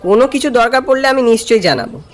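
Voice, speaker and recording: A man's voice: very high-pitched, animated, fast talker. An actor playing a curious, polite male office junior who narrates his own horror story. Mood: calm storytelling. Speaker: narrator and character. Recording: music behind the voice. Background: background music.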